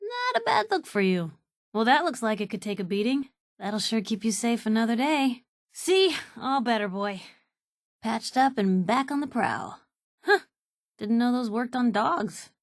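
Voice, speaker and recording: A woman's voice: fairly high pitch, very animated, a little slow, dry recording, clean studio sound. An actress playing a plucky, wisecracking young woman reporter in a post-apocalyptic video game, with a snappy, colloquial, teasing way of talking. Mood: wry, casual. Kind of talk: video game companion voice acting.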